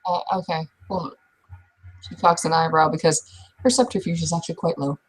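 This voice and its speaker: A woman narrates her character's actions during a roleplaying session. Her voice is husky and a little low. The delivery is casual and conversational. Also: steady tone, brisk, rough recording. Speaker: roleplaying game player.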